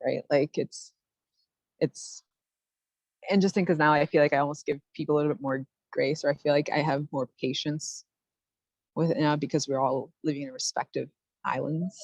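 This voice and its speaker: This is a young woman with a low voice, speaking on a video call. She answers reflectively, restarting her phrases and peppering them with "like." The sound is dry and close. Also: steady tone, moderate pace, pauses often, darkish tone, no room sound. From United States. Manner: thoughtful, many "like" fillers and restarts. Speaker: study abroad alumna panelist.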